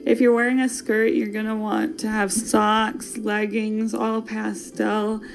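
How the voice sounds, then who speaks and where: A woman's voice: mid-range voice, level tone, slow pace, poor audio. Playful chatty woman with a Wisconsin accent. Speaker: podcast host. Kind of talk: casual fashion podcast chat.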